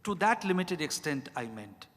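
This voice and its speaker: A middle-aged man with an Indian accent answering questions as an author, speaking reflectively and deliberately, often repeating phrases. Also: fairly high pitch, very animated, a bit bright. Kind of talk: reflective live Q&A at a literary event. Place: large indoor event hall at a hotel. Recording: reverberant hall, amplified live event.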